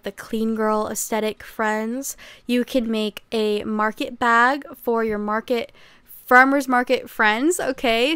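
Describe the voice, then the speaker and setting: A young woman in her twenties chatting casually about crafts as a channel host, upbeat and chatty, a little hoarse from losing her voice. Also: a little high, dry recording. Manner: chatty, upbeat, often ends lines with "okay?". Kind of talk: casual vlog chat.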